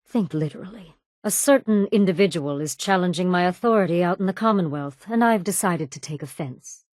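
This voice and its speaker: Woman acting a cold, low-voiced female raider boss. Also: no room sound, clean studio sound, natural voice. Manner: cool, deliberate, sardonic threatening delivery. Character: cold, menacing female raider gang boss, sardonic and cruel. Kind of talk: video game character dialogue.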